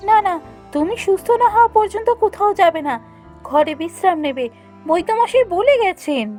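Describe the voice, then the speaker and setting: High, lively, earnest young village wife voiced by an actress. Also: female voice, moderate pace, faint music behind. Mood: insistent. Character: caring, insistent young village wife and daughter-in-law. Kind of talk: cartoon voice acting.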